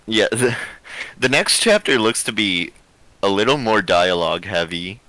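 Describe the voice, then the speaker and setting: A man's voice: lowish voice, expressive, moderate pace, pushed and bright, rough recording. A man co-hosting a livestream, joking around in a playful, casual chat.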